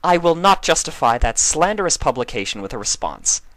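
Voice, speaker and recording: A stately, proper royal mare with a huffy dignity, as a man puts her on. She sounds raised above the reader's own deep voice, close and dry. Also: very animated, brisk, fairly bright tone, no room sound.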